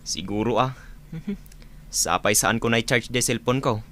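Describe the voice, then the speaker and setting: A man's voice: medium pitch, slow, a bit bright. A man playing a weary, grumbling father in a radio comedy drama, plaintive and speaking with an Ilocano accent.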